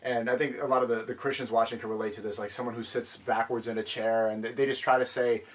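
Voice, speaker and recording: A man's voice: mid-range voice, little pitch movement, fast. A man hosting a casual chat, hesitant and often repeating words. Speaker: podcast host.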